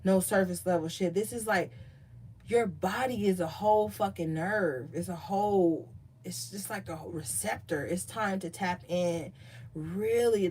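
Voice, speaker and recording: A woman giving a casual tarot reading, chatty and profane, reading card meanings aloud more calmly. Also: lowish voice, slight background noise. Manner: loose, profane, chatty asides. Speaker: tarot reader.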